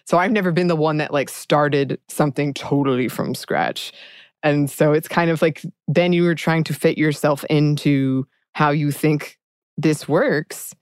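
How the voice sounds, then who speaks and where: A woman's voice: sits low, steady tone, a little slow, smooth, dry recording. A woman hosting a podcast, talking in a reflective, self-deprecating way. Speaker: podcast host. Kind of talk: casual podcast chat. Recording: dry close studio sound.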